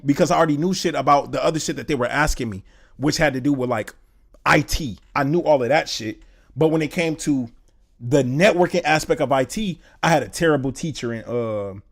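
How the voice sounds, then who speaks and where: A young man in his twenties from Indiana, chatting casually on a live stream, loose and slangy, reading chat aloud and thinking out loud. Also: medium pitch. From Indiana, USA. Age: 20s. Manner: slangy, loose, says "bro" a lot.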